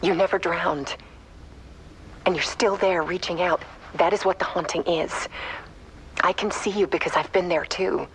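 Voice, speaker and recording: Game monologue role: an actress as a haunted woman, hushed, halting and intimate, faint ambience behind. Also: on the low side, fairly even intonation, slight background noise, close to an everyday voice, poor audio. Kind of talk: dramatic confessional monologue in a video game.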